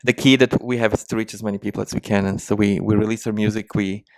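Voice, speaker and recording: A man's voice: fairly low pitch, fairly even intonation, quick pace, no room sound. A man with a slight Ukrainian-Israeli accent answering interview questions, hesitant with many restarts and fillers. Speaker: musician guest.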